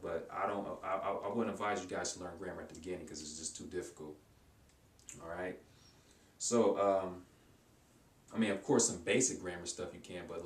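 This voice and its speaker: Low-voiced guy rambling about study books, lots of restarts, sitting back from the mic in a roomy echoey space. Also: distant mic, a little hiss or hum. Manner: rambling, many restarts and repeated words; informal, advising viewers directly. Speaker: language-learning vlogger. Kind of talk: casual talk to camera, showing books.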